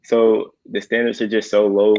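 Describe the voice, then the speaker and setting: A young man, a recent high school graduate, speaking haltingly as a guest in a panel discussion. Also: flat delivery, darkish tone, lo-fi sound. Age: young adult. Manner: halting, many fillers. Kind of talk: casual discussion.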